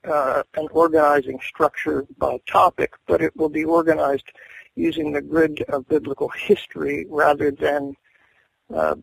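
A middle-aged male seminary professor answering interview questions in a measured, thoughtful way, pausing often with fillers. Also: on the high side, flat delivery, gravelly, slight background noise, poor audio.

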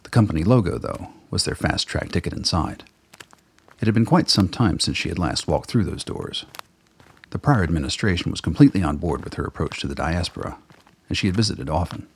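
A man narrating a radio play in a measured, grave way. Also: low voice, lively intonation, slightly rough.

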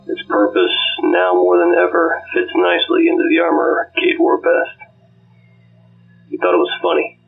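A reverent male storyteller, played by a man, honors a fallen comrade with measured, theatrical weight. The voice is extremely gravelly and fairly high, swinging very widely, distant and thin like a radio with music behind it. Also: narrow, tinny audio, full character voice. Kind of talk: dramatic scripted narration.

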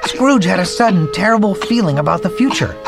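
An earnest storyteller creature narrates a radio play with theatrical pride. The voice is creaky and very expressive, with music playing underneath. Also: male voice, high-pitched, brisk. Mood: dramatic, hushed. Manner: theatrical, dramatic, patient narrator. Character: earnest male storyteller creature, proud of his art.

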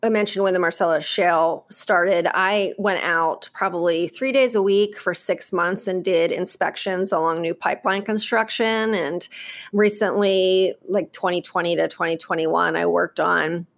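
A candid, straight-talking woman answering interview questions, with a voice pitched a bit low for a woman. She talks in quick, confident bursts and sprinkles in plenty of "like" and "you know". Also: fairly even intonation, gravelly. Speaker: guest, operations executive. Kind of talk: casual interview conversation.

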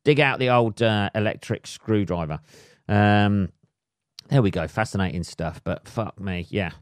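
A man, a little hoarse, ranting comically and swearing constantly in a rambling solo podcast monologue. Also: on the low side, unhurried, no echo, clean studio sound. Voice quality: heavy swearing, rambling with many fillers. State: slightly hoarse. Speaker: podcast host. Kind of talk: solo comedic rant.

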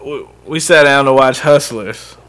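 A man's voice: mid-range voice, a little slow. A man co-hosting a casual podcast chat, steering the talk and telling stories. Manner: lively storyteller, steers the talk. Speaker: podcast co-host.

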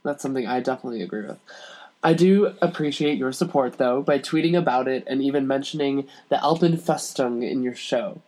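A man's voice: medium pitch, brisk, a little mellow, low-quality recording. A man speaking as a podcast guest, chatting casually and reading a listener's letter aloud.

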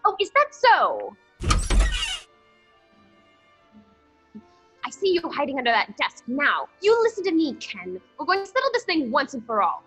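A pushy young newspaperwoman from a 1940s radio comedy as acted by a woman. Her voice is high and bright, swinging widely as she bosses and exclaims impatiently. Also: very animated, a little fast, faint music behind. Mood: bossy, insistent. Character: pushy, fiery young woman reporter and fiancée.

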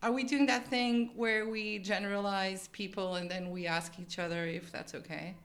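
A woman's voice: mid-range voice, level tone, moderate pace, a bit bright. A Portuguese-born woman in her thirties, whose first language is Portuguese, co-hosting a podcast as casual, giggly workplace chat. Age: 30s. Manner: giggly and chatty. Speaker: podcast co-host.

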